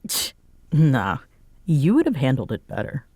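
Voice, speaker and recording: A woman's voice: extremely low pitch, wildly swooping pitch, unhurried, a little mellow, husky, no echo, heavily acted. A woman playing a tired, sarcastic bartender roommate who teases but is secretly fond, in an intimate roleplay audio. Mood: weary sarcastic. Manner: sarcastic, teasing, hesitant when sincere. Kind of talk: roleplay audio acting, intimate one-sided conversation.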